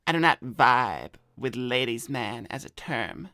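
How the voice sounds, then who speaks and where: A man's voice: mid-range voice, animated, measured pace, bright, forceful tone, no echo, studio quality. A man voicing a cocky, touchy adult hedgehog ladies' man in improvised livestream banter, with a pompous, defensive manner. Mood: cocky, puzzled. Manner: defensive, pompous new-age phrasing.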